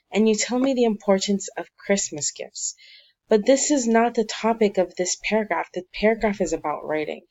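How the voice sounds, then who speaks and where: A woman teacher calmly going through essay feedback, encouraging but critical, with frequent fillers. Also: medium pitch, dull audio. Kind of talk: recorded one-to-one feedback on a student essay.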